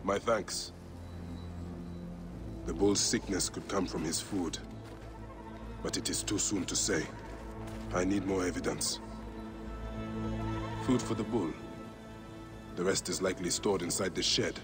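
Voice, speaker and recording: An actor playing a grave, determined Egyptian man who investigates and muses aloud in a measured way, in a video game. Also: low-pitched. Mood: pondering. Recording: music behind the voice. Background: music.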